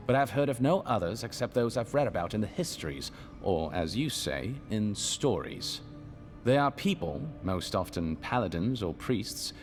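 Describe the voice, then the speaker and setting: An actor playing a courteous, scholarly aide in a video game, speaking in a formal, precise and deliberate manner. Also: male voice, sits low. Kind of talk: game dialogue, measured exposition.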